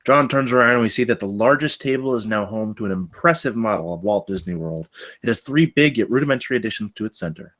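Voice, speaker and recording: A man's voice: fairly low pitch, lively intonation, fast talker, fairly bright tone. A man reading stage directions as the narrator of a screenplay table read.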